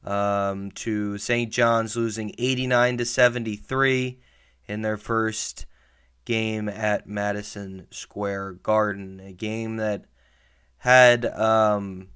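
A man hosts his own sports podcast in an unhurried, rambling way. His voice sits low and comes through clean and resonant, though a starting cold leaves it slightly hoarse. He sounds openly frustrated as he picks apart what went wrong. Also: steady tone, fairly bright tone. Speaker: podcast host. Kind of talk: solo sports podcast commentary.

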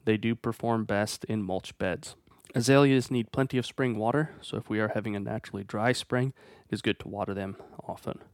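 A man with a stuffed-up voice from a cold, hosting a plant nursery's weekly feature and reading plant guide notes in a steady, informative way. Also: on the low side, fairly quick, no echo, studio quality. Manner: steady, informative, reads from a script.

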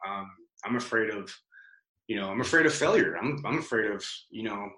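A man's voice: on the low side, lively intonation, brisk, sharp tone. A man guesting on a podcast interview, talking casually with plenty of fillers and swearing. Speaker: guest, business founder. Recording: roomy, echoey sound.

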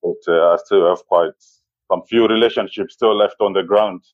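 A man in his forties with a Zimbabwean accent, speaking as an interview guest in a measured, halting way and often saying "you know". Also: low voice, fairly even intonation, no echo, highs cut off. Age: 40s. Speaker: interview guest, safari business owner. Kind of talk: conversational interview, personal recollection.

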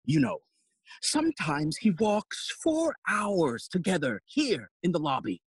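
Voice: medium pitch, wildly swooping pitch, a little slow, breathy edge, no room sound, exaggerated voice. An actor playing a fussy, long-winded old male counsellor in an audio play, theatrical and forever interrupting their own speech. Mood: self-important. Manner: rambling, theatrical, self-interrupting. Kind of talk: Shakespearean stage acting in audio play.

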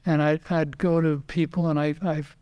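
An older man and retired racing driver, a podcast guest telling animated anecdotes, quoting others and often repeating words. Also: fairly high pitch, moderate pace, clear, resonant tone, highs cut off. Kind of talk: casual storytelling interview.

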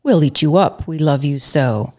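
Big loving monsters from a picture book, pleading in slow, drawn-out words, as a woman reads them. Low voice, lifted a little. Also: animated, darkish tone.

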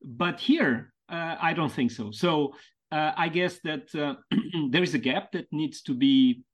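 A man from Portugal with a Portuguese accent, a podcast guest speaking English as a second language in a thoughtful, halting way, stretching out key words. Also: fairly high pitch. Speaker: podcast guest, mentoring founder. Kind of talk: reflective conversational interview.

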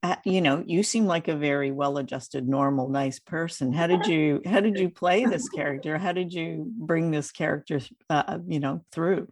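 A woman's voice: low voice, moderate pace, hardly any pauses, a little hiss or hum. A woman hosting a podcast, interviewing a guest in a conversational style.

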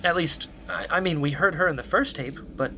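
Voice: medium pitch, brisk, background noise, lightly acted. An actor playing a nervous, inquisitive explorer in a sci-fi radio drama, with a hesitant, questioning manner. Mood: questioning, insistent. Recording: music behind the voice.

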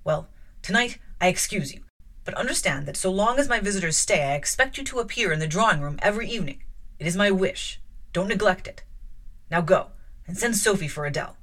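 Female narrator as an imperious middle-aged gentleman; firm, probing, commanding. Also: mid-range voice, animated, a little fast. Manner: imperious, probing, louder than her narration.

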